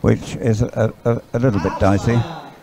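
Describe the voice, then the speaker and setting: Male live sports commentator, low voice, halting and conversational delivery, clear crowd noise behind. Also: fairly even intonation. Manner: hesitant, halting, repeating words. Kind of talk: live dragon boat race commentary, conversational.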